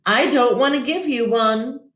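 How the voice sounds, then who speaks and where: A woman's voice: a little high, a little slow, fairly bright tone, pitched above the performer's own voice. A cheeky little lizard from a picture book, played by a woman reading aloud, talks in a breezy, blunt way. The voice rings in an echoey room.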